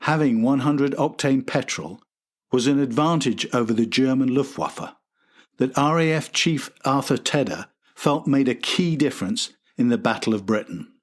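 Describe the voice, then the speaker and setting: A man's voice: medium pitch, normal speed. A man hosting and narrating a history documentary from a script. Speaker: host and narrator.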